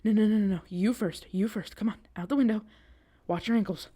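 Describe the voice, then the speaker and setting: A woman acting an excitable, reassuring young girlfriend on a date in an audio roleplay, talking casually to the listener with hesitant asides and eager repetition. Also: medium pitch, brisk, close to an everyday voice. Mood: panicked, urgent.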